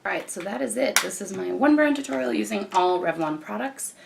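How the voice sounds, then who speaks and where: A young woman with a croaky morning voice, chatting casually as she walks through a makeup tutorial. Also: mid-range voice, brisk, background noise. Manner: chatty, relaxed, frequent fillers and restarts. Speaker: beauty vlogger.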